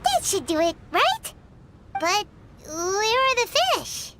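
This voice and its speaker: A woman's voice: very high-pitched, sing-song, slow, heavy background noise, heavily acted. A woman voicing a small, chatty, bossy fairy-like girl companion in an anime-style video game, full of exclamations. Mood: eager. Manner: exclaiming, refers to self in third person.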